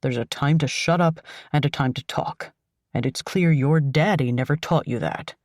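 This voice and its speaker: A woman voicing a gruff, menacing male kidnapper boss who speaks with cold contempt. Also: low voice, moderate pace, a little mellow, no echo, clean studio sound, voice pushed down, close to an everyday voice.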